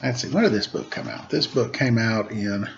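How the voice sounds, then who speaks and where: A man's voice: mid-range voice, moderate pace, dull audio, a little hiss or hum. A man doing a picture book read-aloud as a storyteller, playful and informal, with a touch of theatrical announcing. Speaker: storyteller, teacher.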